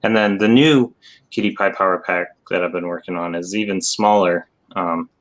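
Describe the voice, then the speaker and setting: A man casually showing off his own projects as a guest, pausing often as he goes. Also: low-pitched. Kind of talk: casual show-and-tell.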